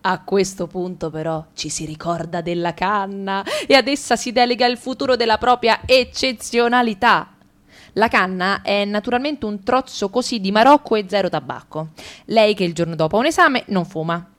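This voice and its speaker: A woman gives a theatrical radio reading at an even, mid-range pitch. She moves through long lists briskly and lands on each item with ironic, playful emphasis. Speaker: radio drama reader. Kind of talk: theatrical reading of a comic monologue.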